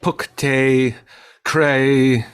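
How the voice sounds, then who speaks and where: A woman's voice: extremely low pitch, little pitch movement, drawn-out words, clean tone, full character voice. A woman performing an incantatory chanter of nonsense syllables in an avant-garde radio play. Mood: ritual chanting.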